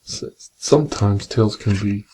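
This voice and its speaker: A man reading a picture book aloud in a soft, gentle, partly whispered ASMR bedtime style with casual asides, close to the microphone. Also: fairly low pitch, measured pace, rough recording. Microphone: close binaural microphone. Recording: clear background noise, binaural ASMR microphone.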